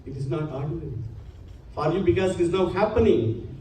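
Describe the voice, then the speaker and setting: A man's voice: on the high side, a little fast, dark tone. A man giving an earnest religious lecture to a congregation, using many Sanskrit terms. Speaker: spiritual lecturer. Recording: echoey hall, distant mic, faint hum.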